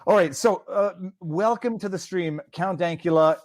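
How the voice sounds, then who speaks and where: A man's voice: high voice, moderate pace, no room sound, studio quality. A man from Quebec hosting a livestream interview, chatty and rambling, firing off many questions. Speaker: host.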